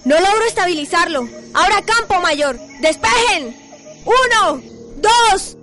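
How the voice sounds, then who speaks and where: A woman's voice: very high-pitched, animated, unhurried, pushed and bright, exaggerated voice. A woman acting an urgent, commanding role in an amateur Spanish-language radio drama.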